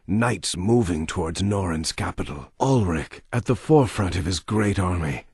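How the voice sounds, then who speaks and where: Grave, husky male narrator. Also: sits low, dry recording, clean studio sound. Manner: grave, measured storytelling. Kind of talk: scripted epic narration.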